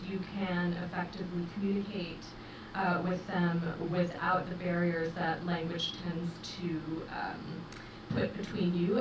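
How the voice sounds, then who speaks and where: Woman director in a roomy, distant recording. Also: on the low side. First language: English. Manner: measured, thoughtful, many fillers. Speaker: film director. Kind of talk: festival interview. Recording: roomy, distant mic with noise.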